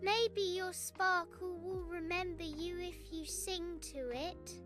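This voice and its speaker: Very high, gentle young princess. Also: female voice, steady tone, slow pace, over music. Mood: warm, encouraging. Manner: gentle, reassuring. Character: kind, brave young princess girl. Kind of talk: children's bedtime storybook dialogue.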